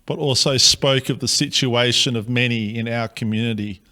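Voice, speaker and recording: Aussie pastor guy, slow and earnest, bright forceful voice. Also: medium pitch, fairly even intonation. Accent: Australian. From Australia. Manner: slow, earnest, measured delivery. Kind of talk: prepared pastoral address.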